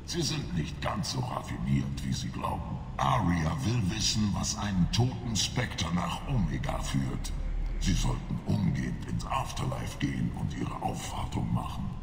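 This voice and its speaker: An actor voicing a surly, menacing alien enforcer in a video game, curt and commanding with a gravelly voice. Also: male voice, deep voice, sing-song, a little slow, full character voice. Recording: echoey hall, noisy ambience.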